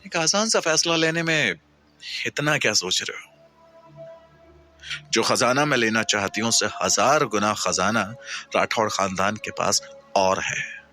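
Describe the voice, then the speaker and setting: A man's voice: on the low side, measured pace, long pauses, bright, forceful tone, faint music behind. A man voicing a cold, menacing female kidnapper who coaxes and threatens in an audio story. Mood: coaxing threat.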